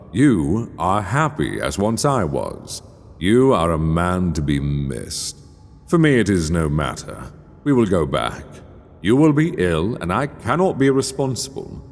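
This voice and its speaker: A man voicing a scheming aristocrat in an audiobook, courteous and measured, with smoothly feigned concern. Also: deep voice, heavily acted. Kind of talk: audiobook character dialogue. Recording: long cavernous reverb.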